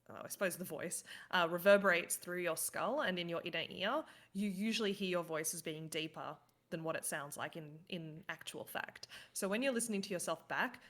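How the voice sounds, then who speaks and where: A woman chats as a guest on a podcast. Her voice is bright and a little sharp at the edges, and she laughs often. She drops in "uh" and "um" and acts out her own reactions as she goes. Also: fairly low pitch. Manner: fillers like "uh" and "um". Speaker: podcast guest, card game streamer. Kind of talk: casual chat.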